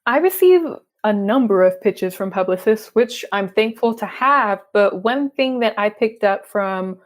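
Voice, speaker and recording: A woman's voice: mid-range voice, expressive, unhurried. A young adult woman from Texas with a Texan accent, a freelance writer chatting animatedly and laughing often in an interview. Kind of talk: conversational interview.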